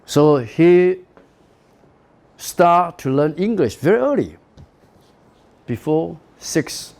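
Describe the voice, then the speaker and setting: A man's voice: on the high side, big pitch swings, takes it slowly, vocal fry, darkish tone. A middle-aged man from Taiwan, a native Taiwanese speaker with a Taiwanese accent, giving a university lecture in English with frequent "you know" and "okay" and emphatic stresses. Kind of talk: classroom lecture.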